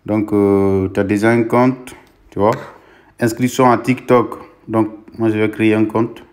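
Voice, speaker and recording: A man's voice: lowish voice, level tone, measured pace, a little mellow. A young man, not a native French speaker, speaking as a content creator in a casual tutorial vlog with an encouraging pep-talk tone. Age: young adult. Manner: pep-talk tone, addresses viewers as "les gars".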